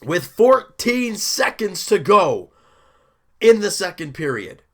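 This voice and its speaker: Hoarse young male fan host, punchy bright delivery, excitable recap. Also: high voice, slow. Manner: excited, rambling, self-interrupting. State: hoarse, deep rough voice after a late night. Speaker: hockey fan video host. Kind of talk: casual game recap.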